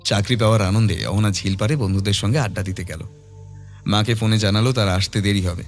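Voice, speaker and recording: Low-voiced man narrating a horror story. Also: steady tone, fast, soft background music. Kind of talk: scripted dramatic story narration.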